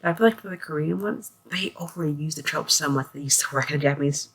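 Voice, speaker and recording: A woman's voice: low voice, fast, a bit bright. A woman giving a casual, conversational review as a host, in loose phrasing peppered with filler phrases. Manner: conversational, loose phrasing, often saying "like" and "you know". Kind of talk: casual spoken review with a scripted synopsis read at the start.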